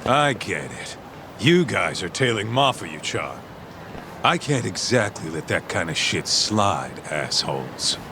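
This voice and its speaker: Casting: cocky game brawler, lowish voice with lively swings, noisy street behind. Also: male voice, takes it slowly, exaggerated voice. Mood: protective menace. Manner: slangy, cocky. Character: brash, street-tough, loyal male brawler sidekick. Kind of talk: video game cutscene acting.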